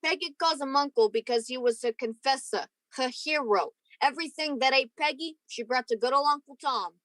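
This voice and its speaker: A woman acts out a sardonic, defiant young man being grilled in a radio crime play. The voice sits fairly high and sounds very dry. Every line carries bitter sarcasm. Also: brisk, a bit bright, dry recording, low-quality recording. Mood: bitter defiance. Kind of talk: old-time radio crime drama acting.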